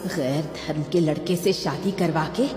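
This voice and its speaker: A woman's voice: low-pitched, measured pace, a little mellow, echoey room, in a large hall. A woman plays a strict mother obsessed with family honour. Her tone is firm and scolding, in the manner of a cartoon horror drama. Music sits under the voice. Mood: stern refusal. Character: stern, unyielding mother guarding family honour.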